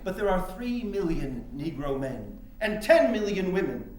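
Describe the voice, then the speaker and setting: Man acting a patronizing, high-voiced reformer, very echoey. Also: sing-song, unhurried, a little mellow, a little hiss or hum. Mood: condescending. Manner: patronizing, rhetorical, orator-like. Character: condescending, self-assured 19th-century male reformer and politician. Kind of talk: theatrical staged-reading acting. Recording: very echoey, roomy hall recording.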